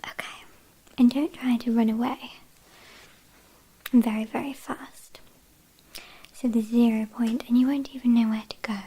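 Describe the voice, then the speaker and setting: A woman's voice: on the high side, steady tone, mellow, breathy edge, rough recording. A woman with a British accent playing a teasing, haughty vampire kidnapper in an ASMR roleplay, whispering breathily. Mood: teasing, controlling. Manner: teasing, mock-apologetic, unhurried.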